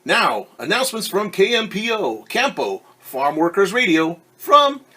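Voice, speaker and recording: A man voicing a friendly male radio announcer, reading slowly and deliberately from a storybook. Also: high-pitched, very animated, sharp tone, breathy edge, pitched above the performer's own voice, exaggerated voice. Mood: upbeat.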